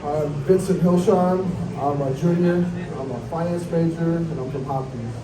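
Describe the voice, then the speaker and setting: Young man, fairly high voice, echoey. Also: moderate pace, far from the mic. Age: early 20s. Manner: plain, brief answers. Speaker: college basketball player. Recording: echoey hall from afar with background noise.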